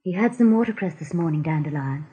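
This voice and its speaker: A caring female rabbit tending the sick in a radio drama. Her voice is very breathy and husky, caught on a dull recording. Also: deep voice, lively intonation, fast talker, dark tone. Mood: caring concern. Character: gentle, caring female rabbit nursing a patient. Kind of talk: radio play acting.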